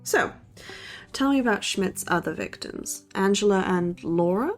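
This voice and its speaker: An actress playing a skeptical, sarcastic young college podcaster in a horror audio drama, dry and questioning. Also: female voice, medium pitch, lively intonation, a little slow, faint music behind, natural voice. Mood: wry. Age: young adult.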